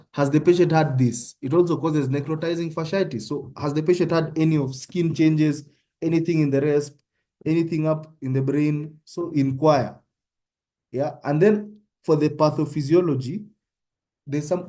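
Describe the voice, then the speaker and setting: Kenyan man tutoring, mellow voice. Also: a little high, steady tone, normal speed. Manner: conversational, often checks in with "yeah?". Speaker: medical student tutor. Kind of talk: informal online tutorial.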